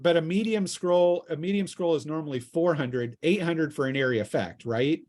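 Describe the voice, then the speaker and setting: A man's voice: fairly high pitch, normal speed, darkish tone. A man acting as game master, casually explaining the rules step by step to his players. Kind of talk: casual tabletop role-playing talk.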